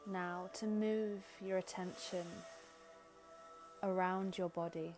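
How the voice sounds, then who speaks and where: A woman leading a guided meditation in gentle, soothing, unhurried short phrases with long pauses. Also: lowish voice, fairly even intonation. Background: background music.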